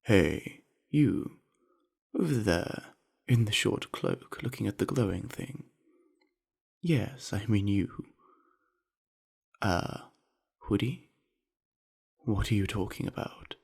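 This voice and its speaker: A man playing a friendly, earnest young orc university jock in an ASMR roleplay, chatting easily in a gravelly, husky voice with musing pauses. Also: on the low side, animated, darkish tone, exaggerated voice. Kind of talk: scripted ASMR roleplay addressed to the listener.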